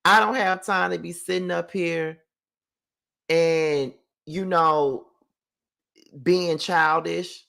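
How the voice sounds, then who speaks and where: Gravelly, blunt man in his thirties. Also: on the high side, fairly even intonation, takes it slowly, pauses often, bright, forceful tone. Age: 30s. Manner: blunt, profane, exasperated. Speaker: commentary host. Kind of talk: reaction commentary.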